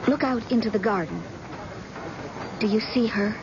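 An actress playing a grave, bitter, guarded grown daughter in old-time radio drama, speaking in a deliberate, solemn way. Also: female voice, on the high side, lightly acted. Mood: grave, insistent. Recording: dull, noisy old radio broadcast.

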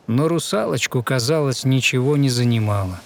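A man narrating a fairy tale in verse with measured, theatrical, expressive recitation. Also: medium pitch, vocal fry, slight background noise.

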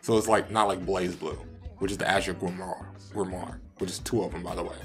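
Male co-host, slightly low voice, informal banter over faint music. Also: fairly even intonation, a little fast, a bit bright. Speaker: podcast co-host. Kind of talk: casual banter.

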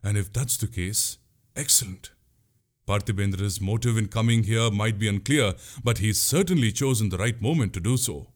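A man's voice: fairly low pitch, moderate pace, natural voice. A man playing a bold, commanding young prince in a historical tale, with formal, resolute speech. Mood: decisive. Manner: formal, resolute, archaic wording.

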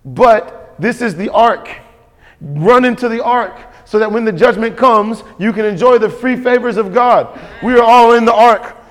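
A man's voice: extremely high pitch, a little slow. A man preaching a sermon as pastor, emphatic and repetitive, calling for responses from the congregation. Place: church sanctuary. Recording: long hall reverb.

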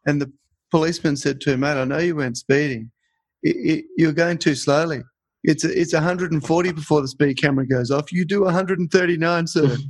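Aussie guy hosting a podcast, relaxed joke-telling, dry close sound. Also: fairly high pitch, brisk, no echo. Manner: relaxed storyteller, tells jokes. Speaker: podcast host. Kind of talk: casual interview chat.

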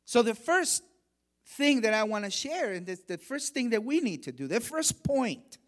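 A middle-aged man from Honduras preaching a sermon, measured and emphatic, with quieter stretches for personal stories. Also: very high voice, very animated. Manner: measured preaching, rising emphasis on key phrases. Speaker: guest preacher.

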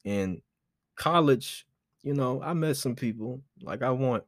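A twenty-year-old man hosting his solo podcast, rambling in a relaxed way with lots of fillers. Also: medium pitch, unhurried, dry recording, clean studio sound. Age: 20s. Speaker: podcast host.

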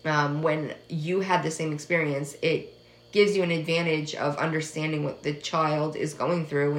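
A woman in her twenties, from the United States, with a first language of French, hosts her own podcast. Her voice sits low and carries a gravelly fry as she talks in a soft, hesitant, earnest way with frequent pauses. The recording picks up a noticeably roomy, echoing space. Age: 20s. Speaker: podcast host. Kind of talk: casual personal podcast monologue. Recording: echoey room.

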